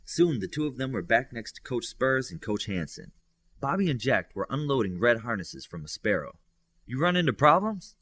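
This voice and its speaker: Expressive man reading a story aloud. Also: medium pitch, moderate pace. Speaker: audiobook reader. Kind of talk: scripted fiction narration with lifted character dialogue.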